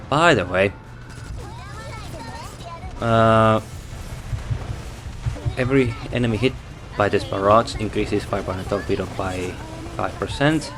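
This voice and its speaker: Slow, hesitant man. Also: on the low side, a bit bright. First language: Finnish. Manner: hesitant, thinking aloud, correcting himself. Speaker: game reviewer. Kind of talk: unscripted game review.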